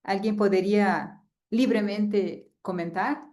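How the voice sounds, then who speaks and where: A woman's voice: medium pitch, steady tone, takes it slowly, a little mellow. Woman lecturing online. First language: Portuguese. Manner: chatty, often adds a tag "¿no?". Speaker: guest lecturer. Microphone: video call.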